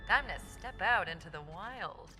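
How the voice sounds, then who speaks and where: A woman voices a cool corporate training announcer from a video game. She is formal and deadpan with a dry menace, her mid-pitched voice ringing in reverb over loud music. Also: normal speed, close to an everyday voice. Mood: cool, official.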